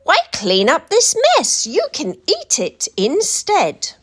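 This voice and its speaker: Woman doing an excitable British little boy, high-pitched. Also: takes it slowly, heavily acted. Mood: scheming. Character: excitable, scheming little boy in a picture book.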